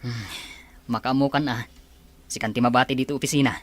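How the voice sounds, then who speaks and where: A man's voice: medium pitch, steady tone, brisk, natural voice. An actor playing a confident, courteous businessman courting a woman in a radio drama, earnest and assured. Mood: casual, amused. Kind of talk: Ilocano radio drama acting.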